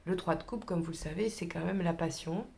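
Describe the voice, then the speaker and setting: A woman's voice: fairly low pitch, fairly quick, creaky, mellow. Chatty woman with a cold, reading tarot. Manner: chatty, many hesitations, drops to whispers. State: has a cold. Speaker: tarot reader.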